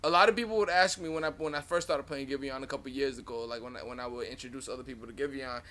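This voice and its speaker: A man's voice: medium pitch, very fast, sharp tone. A young man in his twenties co-hosting a podcast, chatting loosely with profanity and frequent laughter. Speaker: podcast co-host. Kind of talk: casual chat.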